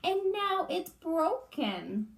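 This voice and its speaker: A woman's voice: very high-pitched, sing-song, extremely slow, creaky, echoey room, voice pushed up, full character voice. A woman voicing a wee, plaintive little boy bear cub in a storybook, with a small whining voice.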